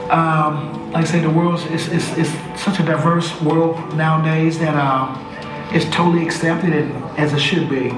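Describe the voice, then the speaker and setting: A man from Southern Illinois on a school staff, answering an interview in a halting way. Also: on the high side. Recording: echoey, music behind.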